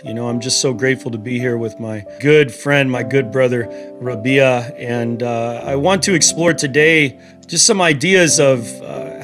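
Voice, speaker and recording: A man hosts a spiritual podcast in an earnest, heartfelt tone. He talks slowly, with plenty of pauses and fillers, in a voice of ordinary pitch. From United States. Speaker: podcast host.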